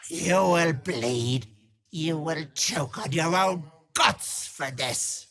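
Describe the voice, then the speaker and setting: An actress playing a gleefully malicious old hag in a video game, raspy and theatrical, with mock-sweet endearments and taunting menace. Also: female voice, low-pitched, drawn-out words, a bit bright, heavily acted. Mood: venomous, menacing. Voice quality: husky, raspy cackling old voice.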